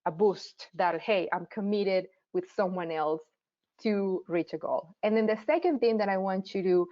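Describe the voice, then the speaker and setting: A woman's voice: lowish voice, warm tone, no room sound. Colombian-accented woman teaching a marketing class. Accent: Colombian Spanish. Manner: encouraging, direct instructions to the audience. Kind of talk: online class lecture. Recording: dull lo-fi sound.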